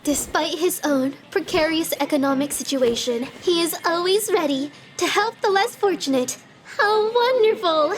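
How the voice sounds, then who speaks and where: A woman's voice: very high voice, normal speed, slight background noise. A woman voicing a doting, theatrical storybook narrator in an anime parody, syrupy and easily flustered. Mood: gushing. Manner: syrupy, theatrical praise that falters. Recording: music behind the voice.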